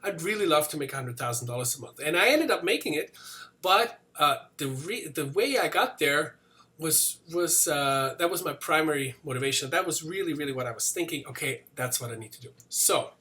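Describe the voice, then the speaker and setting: Upbeat Swiss man, bright forceful voice. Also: a little high, animated, brisk. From Switzerland. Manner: casual, rambling with many fillers and restarts. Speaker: business coach. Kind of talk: motivational talk to camera.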